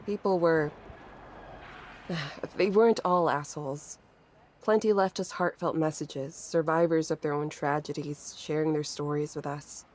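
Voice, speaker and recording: A woman's voice: low-pitched, fairly even intonation, measured pace, darkish tone. An actress playing a grief-stricken, bitter young woman in a horror audio drama, with a weary, sighing, sardonic delivery. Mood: weary, wry. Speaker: voice actor narrating an audio drama. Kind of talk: first-person dramatic narration and dialogue. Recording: music under the voice. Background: music.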